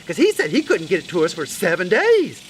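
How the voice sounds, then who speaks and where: A man's voice: sits high, huge pitch swings, brisk, a little hiss or hum. A man voicing a worried, busy female mouse in a children's story. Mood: flustered, fretful.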